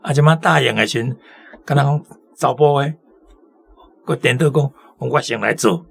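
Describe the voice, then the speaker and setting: A man's voice: medium pitch, normal speed, vocal fry, husky. A man with a Taiwanese accent commenting on a story in an informal, explanatory radio chat. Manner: conversational, explanatory.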